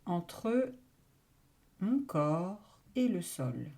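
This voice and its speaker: A woman leading a guided relaxation meditation in a calm, soothing manner, speaking in short phrases with long pauses. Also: fairly low pitch. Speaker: yoga nidra meditation guide.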